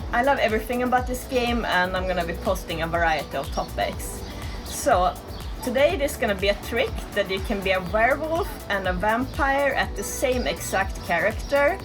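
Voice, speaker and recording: A young woman with a Swedish accent, chatting enthusiastically in a casual game guide recorded on an outdoor balcony. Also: medium pitch. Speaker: gaming YouTuber. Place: outdoor balcony, tropical resort.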